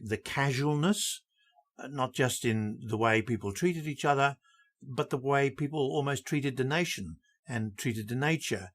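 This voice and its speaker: An older man from Britain, hosting an interview in a measured, formal way and repeating words as he thinks. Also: medium pitch. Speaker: host. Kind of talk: radio interview.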